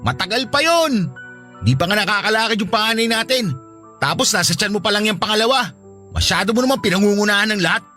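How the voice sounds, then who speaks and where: A woman's voice: mid-range voice, animated, quick pace, sharp tone, faint music behind. A woman playing a lazy, defensive young Filipino husband in a radio drama, arguing in a whiny, sarcastic way. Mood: dismissive.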